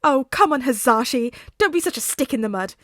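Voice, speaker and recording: An earnest young hero as a woman reader plays him, gushing and dramatic. The voice sits high above the reader's own and pushes out loudly. It suits an audiobook-style fan story, recorded dry and close. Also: animated, a little fast, no echo. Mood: eager, chummy. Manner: effusive, dramatic monologuing.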